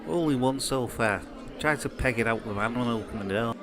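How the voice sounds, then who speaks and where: Against clear crowd chatter, a British man's voice at medium pitch an actor plays a new criminal recruit. He is an ordinary bloke, colloquial and slightly guarded, in a naturalistic drama. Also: a little fast, over music, lightly acted. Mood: guarded, casual. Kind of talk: naturalistic audio drama dialogue.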